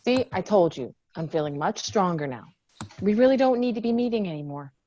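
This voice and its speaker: A woman's voice: medium pitch, expressive, brisk, dark tone, lo-fi sound, slight background noise, natural voice. A woman playing a guarded, stubborn, wounded young Marine veteran in a screenplay table read.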